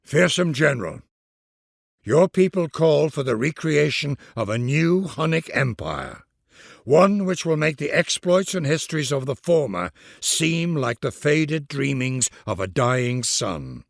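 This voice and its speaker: A man's voice: on the high side, lively intonation, unhurried, creaky, dry recording, clean studio sound. A middle-aged man, narrating a strategy game in a grand, solemn, stately and dramatic manner.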